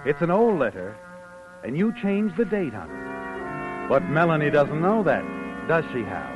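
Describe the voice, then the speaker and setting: A man's voice: on the high side, very animated, a little slow. A man playing a sly, all-knowing narrator in 1940s radio suspense style, needling a schemer in the second person with knowing questions. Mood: sly, taunting. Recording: muffled old radio broadcast, music behind.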